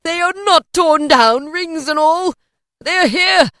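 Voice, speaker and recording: An old reformed miser from a storybook, acted out by a woman, bursting with giddy joy. The voice leaps high and swoops widely, whooping and exclaiming in theatrical delight. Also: lively intonation, normal speed, sharp tone, no room sound, clean studio sound. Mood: ecstatic relief. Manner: exclamatory, whooping, laughing between phrases. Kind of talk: theatrical storybook character reading.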